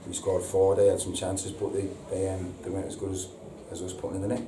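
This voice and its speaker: A man, a football manager giving a halting post-match interview full of repeated words and ums. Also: low-pitched, monotone. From England. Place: indoor press room before a sponsor board. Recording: echoey room, distant mic, audible hiss.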